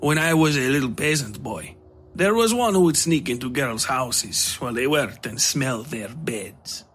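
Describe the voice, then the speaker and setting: A man voicing a tall, menacing knife-wielder, speaking in deliberate, formal phrases with cool taunts. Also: mid-range voice, expressive, fairly bright tone. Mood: condescending. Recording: music under the voice.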